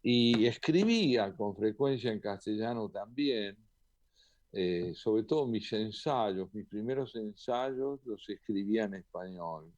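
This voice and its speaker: A man, a poet and translator, answering interview questions in a thoughtful, measured way with occasional hesitant fillers. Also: on the low side, animated, a little mellow, no room sound, highs cut off. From Argentina.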